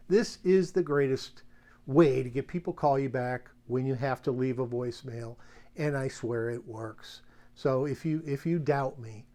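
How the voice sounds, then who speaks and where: A middle-aged man with an American accent and a medium-pitched voice talks through sales advice informally. He rambles in a folksy, conversational way and puts emphasis on the points he cares about. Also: a little mellow. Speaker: sales tips presenter. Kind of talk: casual instructional monologue.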